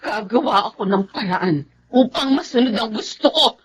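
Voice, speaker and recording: Shrill, bitter elder sister. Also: female voice, expressive, moderate pace, husky. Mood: outraged, tearful. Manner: shrill, scornful, combative. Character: bitter, greedy elder sister who rages over inheritance. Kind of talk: Tagalog radio melodrama acting.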